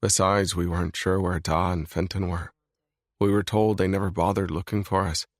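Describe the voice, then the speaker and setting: A man voicing a brooding, controlled mafia boss in a scripted audiobook reading, low and grave. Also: little pitch movement, normal speed, no room sound, clean studio sound, lightly acted. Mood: grim. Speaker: male lead voice in audiobook.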